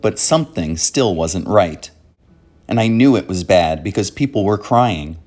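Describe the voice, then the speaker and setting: A man hosting a children's read-aloud, narrating from a script in a measured, clearly phrased way. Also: low-pitched, expressive, a little mellow, faint music behind.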